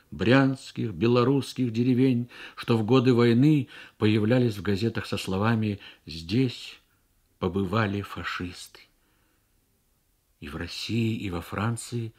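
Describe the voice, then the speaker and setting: A man narrating a literary story for radio in a measured, expressive manner with deliberate pauses. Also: mid-range voice.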